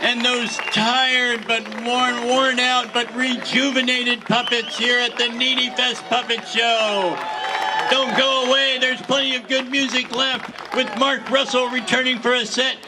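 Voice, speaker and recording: An emcee making live stage announcements, projecting loudly to an audience outdoors. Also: medium pitch, flat delivery, measured pace. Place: outdoor festival. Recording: distant outdoor live recording, loud crowd noise.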